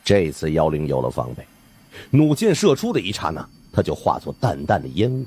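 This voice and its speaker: A man's voice: sits low, very animated, brisk, a little mellow, breathy edge. A man giving dramatic scripted narration of supernatural suspense fiction as an audiobook narrator.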